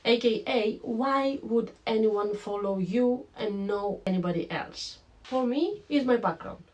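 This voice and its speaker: Vlogger, woman, romanian-greek accent with a bit of british, chatty, talks right to viewers, slightly high voice. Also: a little slow, lo-fi sound. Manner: chatty and animated, addresses viewers directly. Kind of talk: casual talking-to-camera vlog. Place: home room with a lamp.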